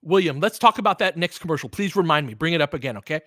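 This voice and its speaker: A man's voice: on the high side, animated, fast talker, fairly bright tone, no room sound, clean studio sound. A man doing informal, opinionated basketball play-by-play, mixing clipped calls of the action with casual chat to his listeners. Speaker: host and play-by-play commentator of a basketball livestream. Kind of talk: casual fan play-by-play commentary mixed with chat.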